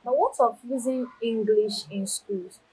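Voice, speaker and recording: A woman's voice: fairly high pitch, a little slow, warm tone, husky, low-quality recording. Woman host pressing with questions. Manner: probing, pressing with follow-up questions. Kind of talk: questioning students on a TV show.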